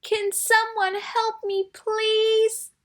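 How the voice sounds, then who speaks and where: A woman's voice: extremely high pitch, little pitch movement, extremely slow, pitched above the performer's own voice, exaggerated voice. A woman voicing a frightened young-sounding male elephant with a little voice, in a storybook. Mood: pleading, frightened.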